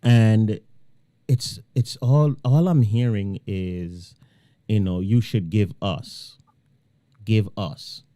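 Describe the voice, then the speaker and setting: A man's voice: on the low side, takes it slowly, mellow, no room sound, clean studio sound. A man hosting a talk radio show, rambling and drawn out, laughing often. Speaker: radio host.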